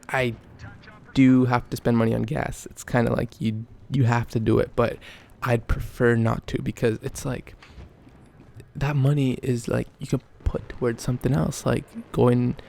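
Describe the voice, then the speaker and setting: A young man from Canada, rambling and joking through a casual question-and-answer vlog, with a raspy voice because he just woke up. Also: medium pitch, flat delivery, moderate pace, a little mellow. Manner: rambling, self-correcting, joking. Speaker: YouTuber.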